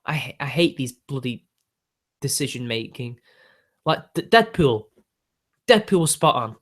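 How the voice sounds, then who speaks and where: A man's voice: mid-range voice, very animated, unhurried, fairly bright tone. A British man in his twenties with a young-sounding voice, chatting casually and ranting to his viewers with lots of fillers. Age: 20s. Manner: ranty, exasperated, filler-heavy. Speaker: YouTube host.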